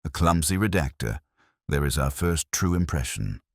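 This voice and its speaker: A man acts out a master detective from a mystery audiobook, very deep-voiced and coolly analytical. He speaks in formal, declamatory phrases with lively swings of pitch. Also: normal speed, dry recording, studio quality, heavily acted. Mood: commanding.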